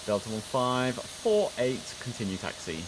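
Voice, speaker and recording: A man's voice: medium pitch, sing-song, unhurried. A man giving casual, chatty gameplay commentary as he plays air traffic controller, thinking aloud in an informal way. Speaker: streamer playing an air traffic control simulator.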